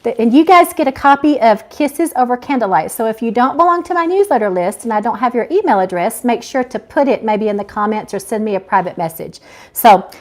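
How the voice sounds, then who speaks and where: A woman's voice: on the high side, lively intonation, fairly quick. A woman hosting a casual live chat as an author, effusive and grateful toward her viewers. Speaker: author and live-stream host.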